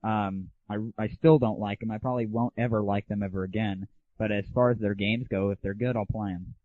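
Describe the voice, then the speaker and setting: A man's voice: lowish voice, steady tone, a little fast, dry recording. A man hosting a gaming audio show, giving casual, slangy, opinionated and irreverent reviews. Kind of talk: casual solo rant and game review. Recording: narrow, phone-like 1990s internet audio.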